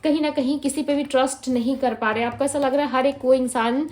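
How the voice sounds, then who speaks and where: High-pitched woman giving a tarot reading. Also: steady tone, brisk. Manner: Hindi mixed with English, frequent "ठीक है" fillers; gentle, reassuring, addresses viewers directly. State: throat feels heavy. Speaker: tarot reader.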